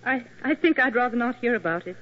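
A woman's voice: on the high side, expressive, fast talker. An actress playing a nervous, fretful, easily frightened English lady tourist in an old-time radio drama. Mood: anxious. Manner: nervous, fluttery. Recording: thin phone-like old radio recording.

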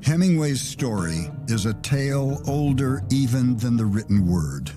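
A man's voice: medium pitch, big pitch swings, unhurried. A man reading scripted documentary narration. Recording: music under the voice. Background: acoustic guitar music.

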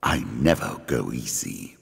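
An actor playing an ancient, immortal dealer in a fantasy card game, speaking in a theatrical, measured, ominous and condescending way. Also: male voice, very deep voice, lively intonation, vocal fry, slightly rough, full character voice. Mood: impatient, brooding. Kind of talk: scripted fantasy game character lines. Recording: long reverb on the voice.

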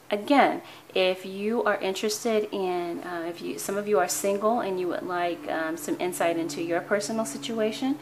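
A woman reading tarot cards for her viewers in a calm, earnest way, her voice hoarse and tired, often restarting her words. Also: lowish voice. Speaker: tarot reader. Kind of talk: weekly tarot reading to camera. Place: indoor tabletop with cards, voice off camera.

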